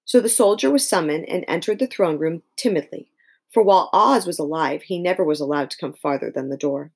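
A woman reading a children's story aloud as scripted narration, in an expressive style. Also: low voice, brisk, slightly rough. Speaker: storybook reader.

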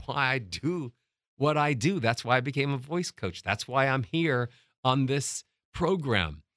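A middle-aged man teaching as a voice coach, emphatic and demonstrating how to change loudness and pitch. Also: no room sound, clean studio sound. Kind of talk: motivational teaching talk.

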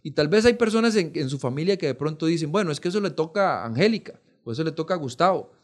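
A man's voice: a little high, lively intonation, quick pace. A man with a Costa Rican accent speaking as a podcast guest, explaining conversationally and earnestly, often adding a checking tag question. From Costa Rica. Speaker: podcast guest, foundation programme representative.

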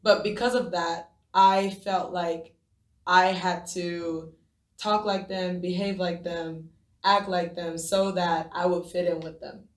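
A woman's voice: on the low side, steady tone, slow pace, roomy sound. A woman in her twenties from Japan, a podcast guest telling her story in a casual, rambling way with many restarts. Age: 20s.

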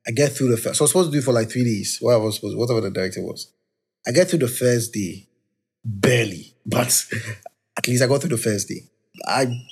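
Chatty husky man musing on faith. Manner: rambling, self-correcting, chatty; talks of his high-pitched voice. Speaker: podcast host. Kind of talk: casual faith reflection.

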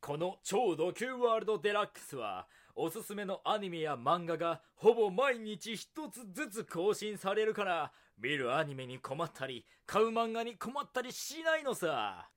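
A man's voice: high voice, sing-song, moderate pace, hardly any pauses, fairly bright tone. A man playing a smug, helpful buddy in a scripted infomercial parody, confident and theatrical. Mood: smug, cheerful. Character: smug, helpful anime-fan buddy named Michael.

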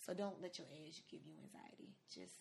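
Fast-talking young woman, candid and emphatic. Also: low voice, big pitch swings, gravelly, warm tone, husky. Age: twenties. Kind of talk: casual conversational advice. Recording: noticeable background noise.

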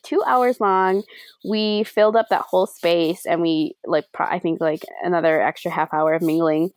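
A young woman co-hosting a casual podcast, chatting in a rambling, animated way with lots of fillers and a few Spanish words mixed in. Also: medium pitch, no room sound. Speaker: podcast co-host. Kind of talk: casual chat. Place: lives in Madrid.

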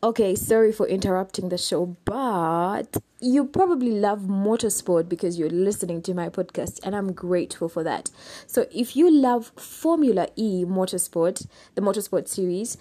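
A young adult woman with a Ugandan accent, hosting a solo podcast and explaining things in an unhurried way with some hesitant fillers. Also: mid-range voice, mellow, no echo. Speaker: podcast host.

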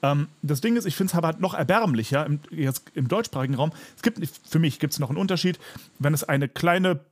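A man's voice: on the high side, a little fast. A man in a casual podcast chat, ranting emphatically and with plenty of exaggeration. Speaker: podcast co-host.

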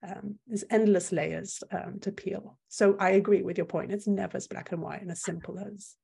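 A woman's voice: mid-range voice, steady tone, normal speed, creaky, dark tone, breathy edge. A woman whose first language is French, speaking as an opinionated, emphatic guest in a conversational interview, often restarting her sentences. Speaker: guest, content creator.

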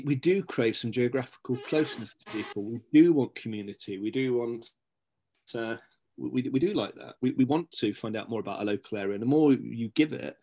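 A man's voice: medium pitch, warm tone. A middle-aged man, a guest in a casual interview, talking in rambling sentences with many fillers and restarts. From Scotland-born, raised in Stapleford, England. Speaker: guest, local magazine editor.

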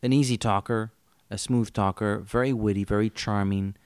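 A lowish-voiced man co-hosting a podcast, chatty and opinionated, breaking in with quick reactions. The sound is dry and close to the mic, with no room echo. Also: clean tone. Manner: animated, opinionated, jumps in. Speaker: podcast co-host. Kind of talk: casual chat.

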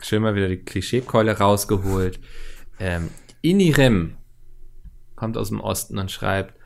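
Gravelly guy cohosting a chatty podcast, reads fan mail, jokey. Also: on the low side, measured pace. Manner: reads listener letters aloud, jokey, laughs a lot. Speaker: podcast co-host. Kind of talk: casual podcast chat, reading listener mail.

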